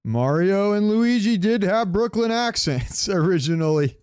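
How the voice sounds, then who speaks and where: A man's voice: high voice, animated, measured pace, darkish tone, smooth, no echo. A man co-hosting a podcast in casual pop-culture chat, rambling and searching for words, with casual swearing. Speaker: podcast co-host.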